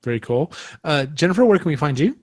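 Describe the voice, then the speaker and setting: A man's voice: a little high, sing-song, quick pace, dry recording, studio quality. A man hosting a podcast in a casual, chatty way. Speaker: podcast host. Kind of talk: casual chat.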